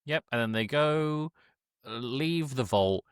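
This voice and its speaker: A man co-hosting a podcast, giving a casual comedic film recap in a halting, irreverent way with mock-quoted dialogue. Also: mid-range voice, expressive, clean tone, no room sound, studio quality. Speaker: podcast co-host.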